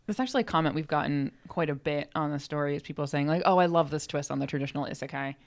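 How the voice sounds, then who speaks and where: A woman's voice: low-pitched, rapid-fire. A woman co-hosting a podcast, explaining things in an informal, rambling, self-deprecating way. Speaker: podcast co-host and co-writer. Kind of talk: casual explanatory chat. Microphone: podcast microphone.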